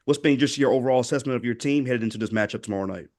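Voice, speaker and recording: Guy reporter on a press call, medium pitch, asking questions. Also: very fast, darkish tone.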